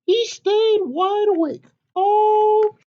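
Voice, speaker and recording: A woman voicing an eager, excitable little girl chicken in a storybook read-aloud, squeaky and pleading. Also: very slow, dull audio, exaggerated voice. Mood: storytelling. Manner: squeaky, exclaiming, childlike.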